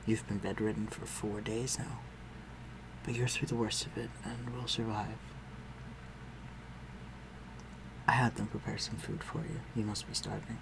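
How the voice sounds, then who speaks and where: Male actor as noble vampire master, gravelly creaky voice, measured and formal, condescending yet protective, audio drama, noisy backdrop of clinking tableware. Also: lowish voice, level tone. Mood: calm, commanding. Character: aristocratic male vampire master, civil, protective, coolly superior. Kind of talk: scripted audio roleplay addressed to the listener.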